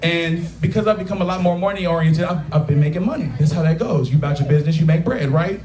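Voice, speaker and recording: A man's voice: on the high side, quick pace, darkish tone. A man in his thirties doing a crude, conversational stand-up set at a taproom, often checking in with the crowd. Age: 30s. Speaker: stand-up comedian. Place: taproom stage before a live crowd. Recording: echoey bar room with crowd. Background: audience laughter.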